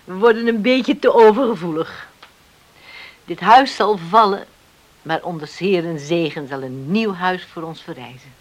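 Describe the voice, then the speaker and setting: A woman's voice: fairly low pitch, normal speed, lightly acted. A woman acting a devout, sentimental farm mother in a Dutch radio drama, on a hissy old recording. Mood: wistful.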